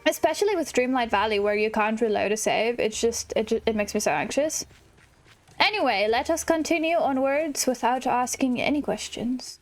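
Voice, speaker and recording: A woman hosting a gaming video, chatting casually and excitably as she plays and often exclaiming. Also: on the high side, moderate pace, faint music behind. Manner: excitable, exclamatory, chuckles at herself. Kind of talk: casual let's-play chat, reading game dialogue aloud.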